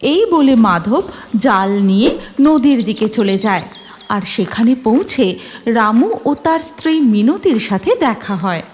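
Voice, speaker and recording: A woman's voice: a little high, lively intonation, measured pace, a little mellow, a little hiss or hum. A woman narrating a scripted cartoon story. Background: music.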